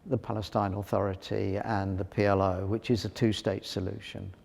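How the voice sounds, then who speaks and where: A man with a low voice speaks slowly and earnestly in an interview. His voice carries a long reverb tail, as if in a large room. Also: level tone, creaky, darkish tone. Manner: deliberate, earnest. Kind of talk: interview answers.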